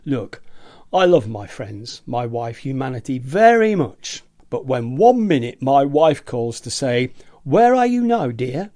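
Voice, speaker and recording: An amateur actor playing a jaded, agitated prisoner who rants about machines in a theatrical, emphatic way, as in a radio play. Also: male voice, mid-range voice, big pitch swings, measured pace, warm tone. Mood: pleading. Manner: theatrical, emphatic, ranting with repetition.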